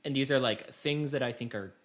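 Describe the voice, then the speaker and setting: A man's voice: mid-range voice, a little fast, fairly bright tone. An American man hosting a podcast in casual conversation, talking in a wry, rambling way. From United States. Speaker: podcast host. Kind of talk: casual chat.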